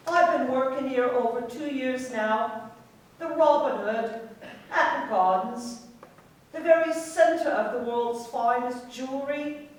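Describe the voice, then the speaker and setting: An actress playing a proud, tart, teasing London barmaid in a radio play. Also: female voice, high-pitched. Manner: brisk, teasing, haughty. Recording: very echoey, distant from the mic.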